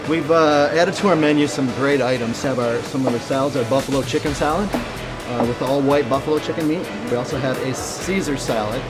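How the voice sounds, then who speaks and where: A man's voice: medium pitch, brisk, loud music behind the voice. A man, a restaurant chef, describing his menu in an upbeat, folksy way in a TV interview. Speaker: executive chef.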